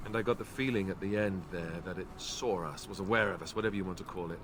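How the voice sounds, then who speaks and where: An actor playing a wry, good-natured young warrior companion in a video game, conversational and self-deprecating. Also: male voice, deep voice, fast talker, background noise, close to an everyday voice. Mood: uneasy, tentative. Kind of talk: video game dialogue acting.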